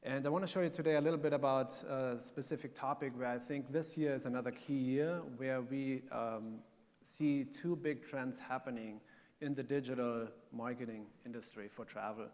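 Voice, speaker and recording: A man's voice: mid-range voice, moderate pace, darkish tone. A man from Germany with a German accent, speaking on a conference panel in an earnest, explanatory way with some filler ums. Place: conference hall. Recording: long hall reverb.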